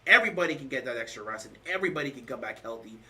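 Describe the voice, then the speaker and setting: A man hosts a casual football talk stream, pressing his points with emphatic repetition. His voice is bright and forward, though he sounds worn out and is losing it. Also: mid-range voice, lively intonation, very fast. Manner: emphatic, repeats points, rising to loud stresses. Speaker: sports show host. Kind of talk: casual sports talk, answering live chat.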